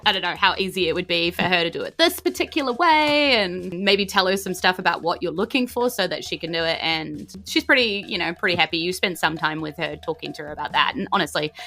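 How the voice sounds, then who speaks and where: A woman's voice: fairly low pitch, bright, forceful tone. A woman with a New Zealand accent narrating a tabletop game as game master, chatty and informal, putting questions to the players.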